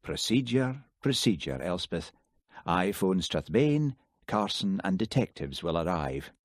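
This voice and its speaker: A man's voice: sits low, very animated, a little slow, dry recording. Man reading grumpy dry village cop, wry, mutters. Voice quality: dry, grumbling. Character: dour Highland village policeman, wry and gloomy. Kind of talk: audiobook character voice.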